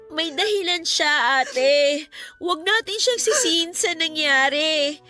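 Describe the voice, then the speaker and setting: A gentle young Filipina sister in a radio drama, earnest and sorrowful as she consoles. Her voice is high and very bright, over faint music. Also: animated, takes it slowly, heavily acted. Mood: tearful, consoling.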